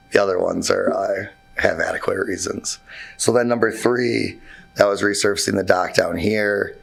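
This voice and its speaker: A man with a hoarse, worn-out voice presenting his building requests to a township board, talking in a halting way with many fillers and restarts. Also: low voice. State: hoarse, voice nearly gone. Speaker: contractor presenting to a zoning board. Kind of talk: informal presentation at a public meeting. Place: township meeting room.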